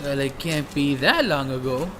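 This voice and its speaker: A man's voice: medium pitch, animated, moderate pace, big-room sound, music in the background, lightly acted. A man playing a flirtatious, grumbling homicide detective in an audio drama, cocky and theatrical. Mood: flirty.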